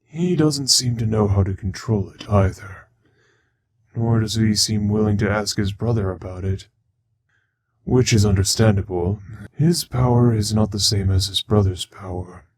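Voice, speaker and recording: A man playing a proud, sorrowful skeleton scientist and father, speaking in a measured, wistful way in a dramatic comic dub. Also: lowish voice, darkish tone, close to an everyday voice. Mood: reflective.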